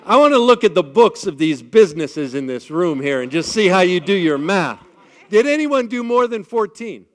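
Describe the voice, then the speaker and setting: Booming upbeat man rallying a crowd. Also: normal speed. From Midwestern United States. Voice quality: big booming projected voice. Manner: energetic, upbeat crowd-rallying with frequent "all right?" checks. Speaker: event speaker leading the group. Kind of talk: live talk leading an audience icebreaker. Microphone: amplified on a microphone.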